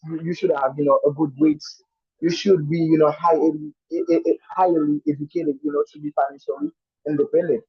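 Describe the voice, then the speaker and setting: A man's voice: a little high, lively intonation, brisk, gravelly, breathy edge, no room sound. A man whose first language is Haitian Creole, a student in an online speaking class, giving a casual answer with lots of "you know" fillers and restarts. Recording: muffled online call audio.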